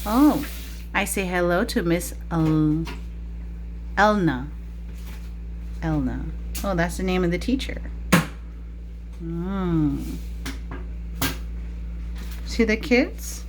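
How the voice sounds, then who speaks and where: Low-pitched woman teacher, noisy recording. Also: expressive, extremely slow, long pauses. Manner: teacherly, asking frequent questions of young children. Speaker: preschool teacher. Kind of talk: interactive picture-book read-aloud for young children.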